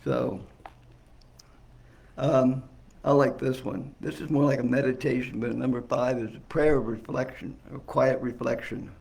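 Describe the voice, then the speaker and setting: A soft-voiced man giving an informal, reflective talk on faith as a guest speaker at a church service, in a conversational way. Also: medium pitch, flat delivery, measured pace, vocal fry. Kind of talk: informal sermon teaching from notes and scripture. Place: indoor service room, mic some way off. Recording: distant mic, roomy echo, faint noise.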